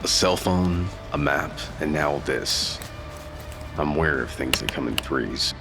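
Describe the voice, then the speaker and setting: Very deep-voiced, wary male investigator. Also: a little slow, gravelly, exaggerated voice. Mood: guarded. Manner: terse, guarded, dry. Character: gruff, wary, world-weary male investigator. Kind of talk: audio drama acting. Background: prominent music.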